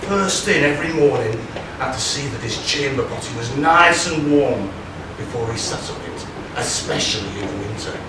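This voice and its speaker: An actor playing a comic, ragged old pantomime prisoner and former chambermaid, rambling through stories with hesitations. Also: male voice, mid-range voice, animated, normal speed, natural voice. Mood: rambling, confiding. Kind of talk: stage pantomime comedy acting. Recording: very echoey hall, distant mic, clear noise.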